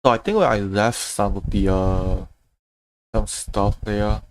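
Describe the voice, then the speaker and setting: A man's voice: lowish voice, takes it slowly, a little hiss or hum. A man casually commentating live gameplay as a streamer, thinking aloud as he goes. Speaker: game livestreamer. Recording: game music behind the voice. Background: video game music.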